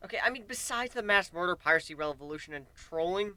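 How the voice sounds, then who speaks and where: Exasperated storybook griffin hero, acted by a man, sarcastic, panicky, swooping wildly in pitch, very sharp-toned. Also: animated, fast talker, no echo. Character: hot-headed, exasperated, melodramatic male griffin swordsman.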